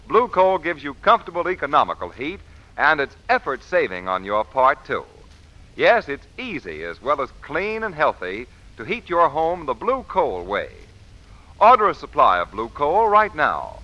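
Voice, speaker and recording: A man's voice: medium pitch, bright, forceful tone, muffled recording. An American man announcing in an emphatic, formal, scripted 1940s radio style. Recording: old radio recording, faint noise.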